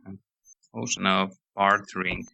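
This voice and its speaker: A man talks slowly through a game playthrough, hoarse and losing his voice. His tone is gravelly yet bright and forceful. Faint noise and mouse clicks sit behind him. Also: deep voice, little pitch movement, highs cut off. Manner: rambling, reads game text aloud. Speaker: let's play host. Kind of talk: casual gameplay commentary.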